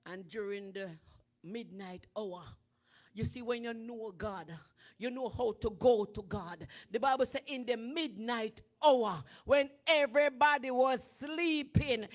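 Woman preaching a fiery sermon. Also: high voice. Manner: animated preaching that builds to shouting. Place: church sanctuary with draped stage. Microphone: handheld microphone.

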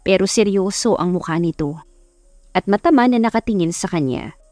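A woman's voice: mid-range voice, animated, normal speed, darkish tone, no room sound. A woman reading a scripted romance story as an audiobook narrator. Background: background music.

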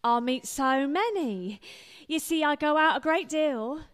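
A woman's voice: high-pitched, animated, unhurried, a bit bright. A woman playing a dreamy, vain society wife in a radio play. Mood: airy.

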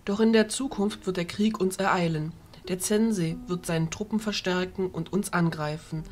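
Low-voiced, composed fairy leader, played by a woman. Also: fairly even intonation, darkish tone, a little hiss or hum, lightly acted. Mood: composed, solemn. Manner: formal, measured. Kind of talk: amateur audio drama acting.

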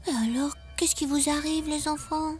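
A woman's voice: sits high, fairly even intonation, normal speed, lightly acted. A woman voicing a friendly female spider who guides children in an educational cartoon, reassuring and teacherly. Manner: reassuring, explanatory. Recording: music behind.

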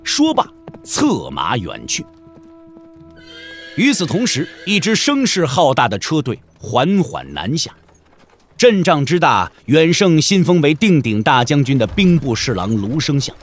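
Highly animated male storyteller. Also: a little slow, faint music behind. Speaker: narrator. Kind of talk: dramatic scripted audiobook narration.

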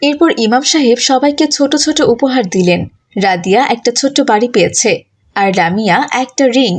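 High-voiced woman narrating a story. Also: animated, normal speed, husky. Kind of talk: scripted children's story narration.

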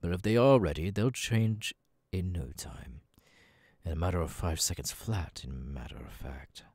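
A man voicing a cold, domineering demon lord in an ASMR audio roleplay, commanding and contemptuous, dropping into breathy murmurs. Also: deep voice, normal speed, darkish tone, dry recording, clean studio sound. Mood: calculating.

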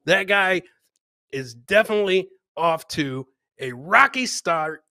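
A man's voice: high-pitched, expressive, slow, bright, forceful tone, no room sound, studio quality. A middle-aged man hosting a live comedy show, casually commenting on clips and chatting with viewers, joking and repeating his phrases. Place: indoor home studio, webcam livestream.

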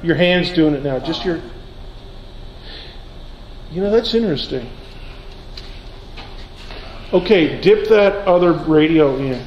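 Guy science teacher doing a casual demo, pretty high voice, short instructions, echoey room. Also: animated, slow pace, long pauses, a little mellow, lo-fi sound. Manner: informal, giving short instructions. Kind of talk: informal classroom demonstration. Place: large lecture auditorium. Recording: echoey lecture hall, some background noise.

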